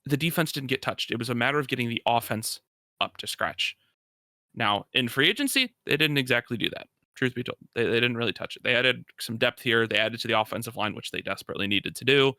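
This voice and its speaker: Male sports co-host, very bright tense edge, emphatic and opinionated, dry close sound. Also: medium pitch, animated, fast, no echo. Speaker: podcast co-host. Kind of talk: casual sports talk, analysis.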